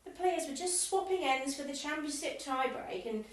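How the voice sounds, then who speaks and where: Actress as emphatic, gossipy club woman, high and swooping, radio comedy, very echoey room. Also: animated, normal speed. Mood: indignant gossip. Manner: emphatic, exasperated storytelling. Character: indignant, gossipy, competitive tennis club committee woman. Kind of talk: amateur radio comedy acting. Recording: very echoey room, far from mic.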